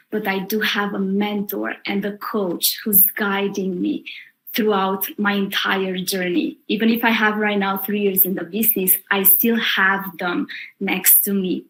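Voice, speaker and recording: A woman hosting a live webinar, welcoming the audience in an upbeat, deliberate way. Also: medium pitch, a bit bright, low-quality recording. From Romania. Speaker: webinar host.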